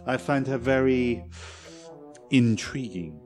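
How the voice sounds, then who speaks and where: An actor playing a shrewd, polite Belgian detective with a French-speaking accent, speaking formally and precisely in 1940s radio style. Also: male voice, mid-range voice, slow, a little mellow, lightly acted. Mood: suave. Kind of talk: 1940s radio drama acting. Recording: old radio drama with music.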